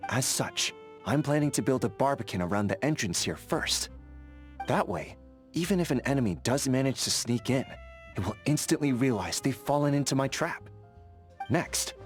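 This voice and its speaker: An actor playing an earnest, vigilant young sentry in a fantasy video game, friendly but overcautious, speaking in a methodical, conversational way. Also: male voice, mid-range voice, lively intonation, normal speed, faint music behind, close to an everyday voice. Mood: earnest, cautious. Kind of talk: video game character dialogue.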